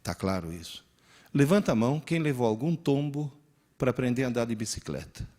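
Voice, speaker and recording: Animated man in his sixties lecturing. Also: mid-range voice, brisk. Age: 60s. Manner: animated storyteller, joking, coaxing the audience. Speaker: professor giving a lecture. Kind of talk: lively lecture with audience participation.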